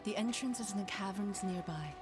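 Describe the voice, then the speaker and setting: A woman playing a haunted, steadfast survivor in a dark fantasy game, solemn and hushed. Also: mid-range voice, a little fast, over music, close to an everyday voice. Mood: steady, grateful.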